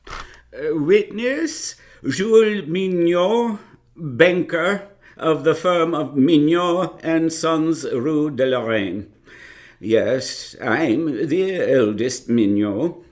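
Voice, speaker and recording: An actor in a live radio drama playing a formal, halting male banker giving testimony. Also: fairly high pitch, expressive, darkish tone. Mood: formal, hesitant. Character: eldest son banker, formal, hesitant male witness.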